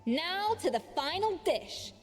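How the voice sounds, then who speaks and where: A woman's voice: very high voice, sing-song, measured pace, heavily acted. A woman playing an exacting, composed head chef in a video game, poised and critical in manner. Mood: measured judging. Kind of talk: game voice acting. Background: game music.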